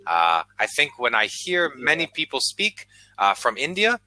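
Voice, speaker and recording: An American man, an online English tutor, chatting patiently on a video call and speaking slowly and clearly. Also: mid-range voice, huge pitch swings, pushed and bright, rough recording. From United States. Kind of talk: casual conversation lesson.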